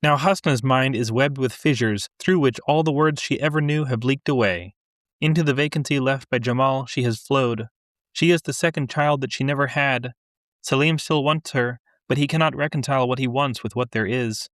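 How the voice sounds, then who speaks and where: A man reading literary fiction aloud and discussing it as a podcast co-host, in a measured, deliberate way. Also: medium pitch, fairly bright tone, no room sound, studio quality.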